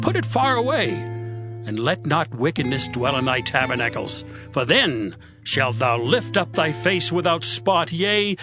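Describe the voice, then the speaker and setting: A man playing Zophar, a stern man rebuking his friend in a lecturing, dramatized scripture reading. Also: a little high. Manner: stern, lecturing King James delivery. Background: music.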